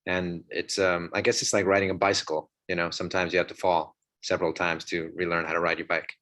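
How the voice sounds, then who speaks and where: A man with a somewhat low voice chats as an actor on a discussion panel. He talks informally, in an anecdotal, conversational manner. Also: little pitch movement, quick pace, a bit bright. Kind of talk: casual anecdotal panel talk.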